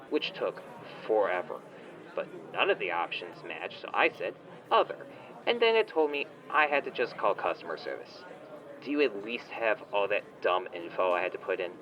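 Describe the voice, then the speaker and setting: A man playing a smug, entitled online shop owner on a phone call in a comedy audio drama, whiny, condescending and petulant in casual slang. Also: low-pitched. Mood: petulant, exasperated. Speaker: caller, complaining customer.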